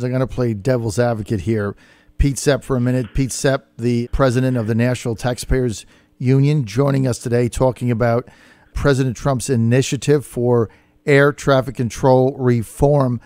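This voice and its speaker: A man's voice: mid-range voice, normal speed, darkish tone, no room sound. An American man hosting a radio interview, putting challenging questions in plain-spoken words. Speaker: radio talk show host. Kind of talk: probing live radio interview.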